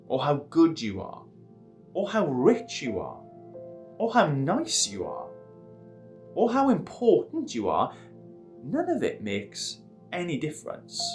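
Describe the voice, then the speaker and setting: Man, children's story host, playful, lively pitch, slow delivery.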